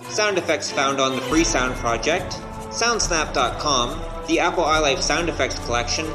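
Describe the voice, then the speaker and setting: Male announcer, scripted credit read, slightly high voice, roomy echo, audio drama. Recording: echoey, over loud music.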